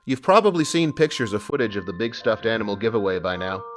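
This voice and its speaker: An actor playing an earnest, smooth-talking police sergeant who tells his story in an audio drama. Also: lowish voice, sing-song, quick pace, loud music behind the voice. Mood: wry storytelling. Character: persuasive, good-hearted male police sergeant and storyteller. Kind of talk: audio drama acting and first-person narration.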